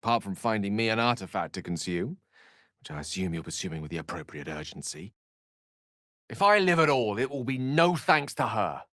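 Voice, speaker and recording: A man's voice: on the low side, sing-song, normal speed, a bit bright, dry recording, studio quality. An actor playing an erudite, witty and self-assured wizard in a video game, eloquent and theatrical in his wordy delivery. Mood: intense, determined. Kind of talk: video game character acting.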